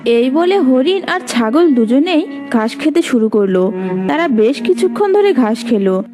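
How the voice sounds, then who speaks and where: A woman's voice: high voice, sing-song, measured pace, warm tone. A woman narrating a scripted children's fable as storyteller, with music behind her.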